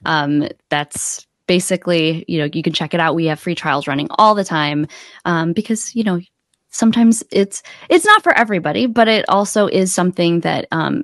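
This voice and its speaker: A woman coach chats as a podcast guest in a fairly low voice. She thinks aloud, starting and restarting in a conversational way, recorded close and dry in a small room. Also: no room sound. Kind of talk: casual conversational interview. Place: small indoor room, video call. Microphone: close desk microphone.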